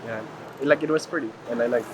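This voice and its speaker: Casting: male traveler from Hungary, casual rambling interviewee, mid pitch, clear ambient noise. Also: fast. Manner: frequent "like" filler, rambling. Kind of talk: casual chat.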